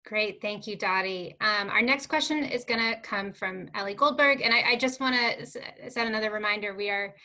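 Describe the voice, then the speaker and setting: A woman's voice: mid-range voice, brisk, few pauses, sharp tone. A woman moderating a forum in a polite, conversational and informal way.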